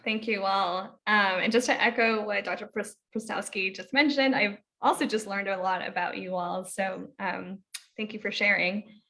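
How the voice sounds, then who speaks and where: A woman's voice: medium pitch, fairly even intonation, moderate pace, bright, forceful tone. Woman moderating a panel discussion.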